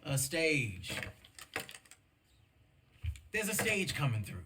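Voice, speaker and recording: A young American outlaw in a Western video game. His voice sits high and moves around a lot, with an eager, insistent edge and a cocky streak. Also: very animated, drawn-out words, bright, forceful tone, heavily acted. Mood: defensive, eager. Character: eager, defensive young male Old West outlaw. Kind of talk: video game dramatic acting.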